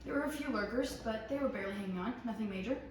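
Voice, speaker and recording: A woman acts out a casual, teasing older sister looking after her sibling in a survival drama. Her voice sits a little high. It is heard in a very reverberant room, away from the mic, with faint noise. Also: rapid-fire, distant mic, lightly acted. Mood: matter-of-fact. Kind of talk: script table read.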